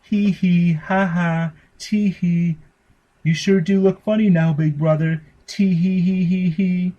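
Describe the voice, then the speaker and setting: A man's voice: high voice, steady tone, slow pace, mellow, lo-fi sound, pitched above the performer's own voice. A man voicing a spoiled, bossy little girl with a giggly manner and an affected lisp in a storybook reading. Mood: giggling glee.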